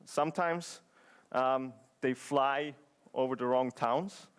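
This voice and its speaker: A man's voice: medium pitch, slow, clean tone. A man from Switzerland giving a conference presentation in English, chatty and joking, with many fillers and restarts. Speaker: conference speaker. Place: conference hall.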